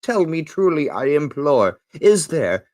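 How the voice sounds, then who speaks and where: A man's voice: on the high side, sing-song, measured pace, darkish tone, no room sound, clean studio sound. A man giving a theatrical, emphatic dramatic recitation of poetry as an amateur reader. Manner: theatrical, with big emphatic swings.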